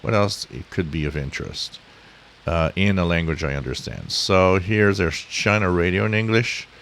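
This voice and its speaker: A man with a very low-pitched voice talks listeners through an informal tutorial. His delivery is instructive but halting and rambling, recorded as a voice-over with a faint hiss underneath. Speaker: shortwave radio hobbyist, tutorial host. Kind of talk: informal explanatory screen-share tutorial. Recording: steady hiss behind the voice.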